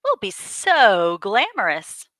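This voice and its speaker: A woman's voice: mid-range voice, huge pitch swings, slow pace, a bit bright, dry recording, studio quality, pitched above the performer's own voice, heavily acted. A woman voicing envious, vain farm hens as fussy, exclaiming, gossipy ladies in a picture book. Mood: excited, gushing. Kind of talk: picture book character voices.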